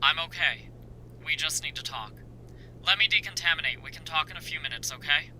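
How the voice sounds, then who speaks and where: Actor as a steady male survivor, bright voice. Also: fairly high pitch, a little fast, a little hiss or hum, rough recording. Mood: serious. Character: steady, reassuring male survivor and research partner. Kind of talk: amateur horror radio drama acting.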